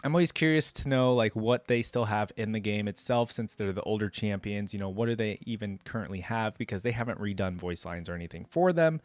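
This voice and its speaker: A man's voice: fairly low pitch, brisk, few pauses, no room sound. A man hosting a reaction video, commenting casually and musing aloud with questions for viewers.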